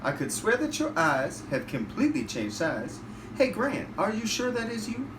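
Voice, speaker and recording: A man's voice: sits high, very animated, normal speed, pitched above the performer's own voice. A man voicing a bold, suspicious little girl from a storybook, exclamatory and challenging. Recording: roomy, distant, noisy home recording.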